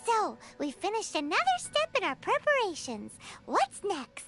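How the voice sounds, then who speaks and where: A tiny fairy sidekick with an extremely high, squeaky child's voice. She is chatty, blunt and curious, swooping in pitch but unhurried, with game music behind her. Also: exaggerated voice. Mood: curious, pouty. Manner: expressive, inquisitive. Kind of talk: video game voice acting.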